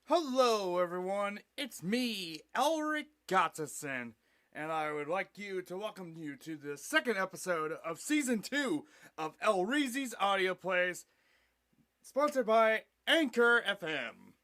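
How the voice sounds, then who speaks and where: A man hosting an audio play podcast, reading his own writing theatrically with a halting, dramatic delivery. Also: sits high, lively intonation, pushed and bright.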